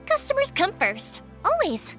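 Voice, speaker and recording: Very high, bubbly young fireworks girl. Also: unhurried, music in the background, full character voice. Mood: upbeat, chatty. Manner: bubbly, expressive, emphatic. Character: cheerful, chatty young female fireworks maker. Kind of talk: animated video game dialogue.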